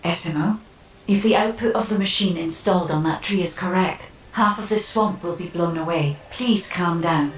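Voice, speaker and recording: An actress playing a calm, analytical science officer in a video game, speaking in a measured, precise and composed way. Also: female voice, lowish voice, lightly acted. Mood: calm urging. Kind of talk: dramatic video game cutscene acting. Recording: echoey, distant, noisy game audio.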